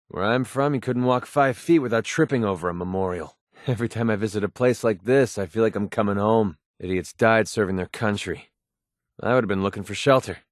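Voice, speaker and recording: A man's voice: mid-range voice, no echo, lightly acted. Sardonic young mercenary played by a man, dry studio sound. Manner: sardonic, grumbling, offhand. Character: cynical, wisecracking young mercenary sniper in a wasteland. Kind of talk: video game companion dialogue.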